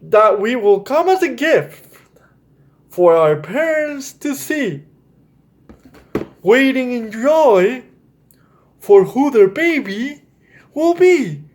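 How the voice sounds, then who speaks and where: Male children's storytime host, higher voice with very lively pitch, speaking straight to kids and checking they follow. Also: takes it slowly, long pauses. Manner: addresses children directly, often checks with "right?". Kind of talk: explaining to children, read-aloud storytelling.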